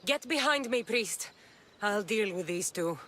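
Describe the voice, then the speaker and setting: An actress playing a blunt, sardonic young mercenary warrior of ancient Greece in a dramatic video game, questioning people bluntly. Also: female voice, mid-range voice, expressive, measured pace, slight background noise. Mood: defiant. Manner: blunt, probing. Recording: loud music behind the voice.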